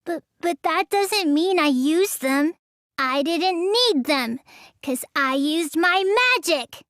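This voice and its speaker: High-voiced, sulky, slow-talking teenage girl. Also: fairly bright tone, dry recording, clean studio sound. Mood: flat, explaining. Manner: drawling, pouty, stammers when flustered. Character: sulky, lazy teenage girl who claims real magic. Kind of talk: English video game voice acting.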